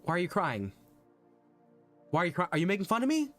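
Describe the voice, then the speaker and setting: Undead patchwork lover male actor: high voice, earnest, halting, theatrical pleading, music bed. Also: wildly swooping pitch, fairly bright tone, full character voice. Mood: tearful, bewildered. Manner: halting, earnest dramatic monologue with repeated phrases. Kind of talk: theatrical romantic monologue.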